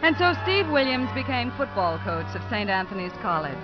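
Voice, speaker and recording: A woman's voice: medium pitch, sing-song, fairly quick, close to an everyday voice. An actress playing a composed young woman who narrates her story in a 1950s radio drama. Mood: reflective, composed. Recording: dull 1950s radio recording. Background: music.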